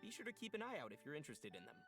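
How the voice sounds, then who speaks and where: Lively, quick male presenter over music. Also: on the high side. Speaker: program presenter. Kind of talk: scripted informational presentation. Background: music, streamer talking over it.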